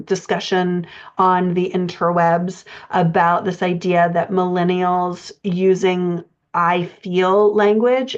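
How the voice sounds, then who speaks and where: A woman's voice: fairly low pitch, lo-fi sound. A middle-aged American woman giving an informal explanatory podcast lesson as a writing coach, thinking aloud in a hesitant way. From United States.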